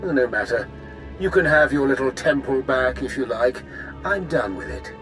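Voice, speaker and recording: A man's voice: mid-range voice, sing-song, moderate pace, a bit bright. An actor voicing a smug, dismissive male Sith agent in a game. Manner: sneering and dismissive. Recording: game audio with music.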